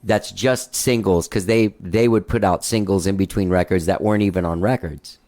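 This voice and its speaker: A man with a high voice, hosting a loose, rambling interview full of "like" and swearing. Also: steady tone, moderate pace, darkish tone. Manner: rambling, swears freely, many "like" fillers. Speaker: podcast host. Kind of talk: casual interview chat.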